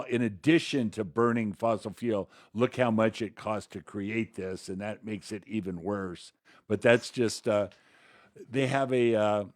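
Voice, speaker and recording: Sardonic man with a lowish, dry voice. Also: a little mellow, no room sound. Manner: sardonic, argumentative. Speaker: commentator, co-host. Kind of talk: conversational political commentary.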